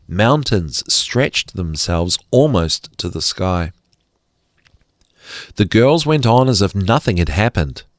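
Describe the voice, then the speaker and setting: A man reading a fairy tale as an expressive storyteller, with dramatic, deliberate phrasing. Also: sits low, no room sound, clean studio sound.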